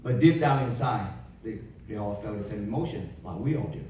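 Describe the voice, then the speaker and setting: A young man with a Vietnamese accent giving an emphatic motivational keynote to a live audience, with dramatic pauses and rhetorical questions. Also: lowish voice, animated, warm tone, a little hiss or hum. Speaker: motivational speaker. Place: large event hall. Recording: echoey hall from far off.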